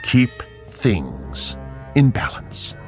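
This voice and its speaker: An actor playing a calm, mysterious messenger of reckoning in a radio drama, speaking formally and deliberately. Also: male voice, medium pitch, faint music behind. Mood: stern, composed.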